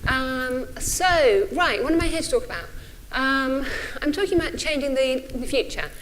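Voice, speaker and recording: A woman's voice: high voice, animated, normal speed, fairly bright tone, slight background noise, low-quality recording. A woman in her forties with a stutter, giving an informal, hesitant and self-effacing symposium talk. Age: 40s. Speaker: speaker, runs a think tank.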